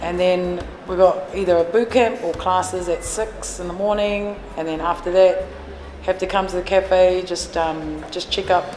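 Earnest low-voiced woman in an echoey café. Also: fairly even intonation, measured pace, a little mellow. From New Zealand. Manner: earnest, many fillers and restarts. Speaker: café owner and fitness trainer. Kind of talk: casual interview answers. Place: café, indoors. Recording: echoey room with long reverb and music behind.

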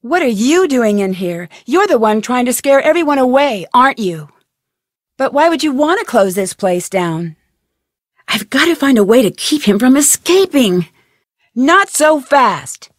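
A woman's voice: high voice, sing-song, measured pace, no echo, clean studio sound, exaggerated voice. An actress playing an inquisitive, plucky young female detective in a video game, earnest and questioning. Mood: confrontational, determined. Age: young adult.

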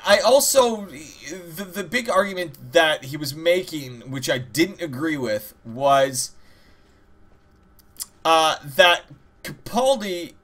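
A man with a fairly high, bright voice hosts a gaming video. He rambles, jokes and swears as he plays, with a very lively delivery and mock-dramatic asides. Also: slow. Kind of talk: casual gameplay commentary. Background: game music.

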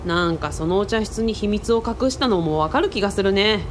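Woman acting excitable boyish guy, lively pitch. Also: a little fast, a little hiss or hum, lightly acted. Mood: friendly, sheepish. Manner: casual, boyish, exclamatory speech. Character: boyish, excitable young male sidekick, Kaoru. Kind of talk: radio drama acting.

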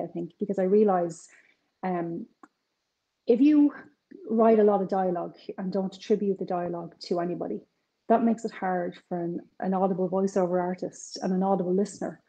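A woman's voice: mid-range voice, low-quality recording. A woman in her forties with a North Side Dublin accent, a crime author chatting over a video call from her kitchen. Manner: chatty, animated, quick asides. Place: home kitchen with shelves.

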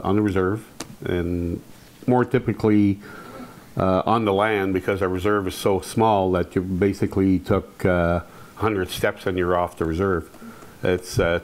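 A man in his fifties from Quebec, whose first language is Algonquin, tells his own story to a seated audience. His voice sits fairly low, and he speaks in an unhurried way, pausing often with "uh". He is picked up by a clip-on lapel mic in a hall. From Quebec, Canada. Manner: relaxed, unhurried, many "uh" pauses. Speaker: panel speaker. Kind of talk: personal storytelling at a panel. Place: hall with curtained stage, audience present.

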